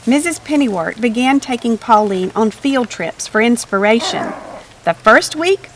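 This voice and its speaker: A woman narrating a children's storybook aloud in an expressive, measured read-aloud style. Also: on the high side, slight background noise. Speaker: storybook reader.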